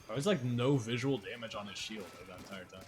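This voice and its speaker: A man's voice: on the low side, fast talker. A man livestreaming a video game, chatting casually with viewers and commenting on play in informal, slangy speech. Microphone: streaming microphone. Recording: music playing behind the voice. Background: music.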